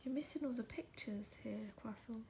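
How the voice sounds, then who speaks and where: A woman reading a picture book aloud in a soft ASMR style, gentle and coaxing, slipping into breathy whispers. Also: on the high side, moderate pace, noisy background.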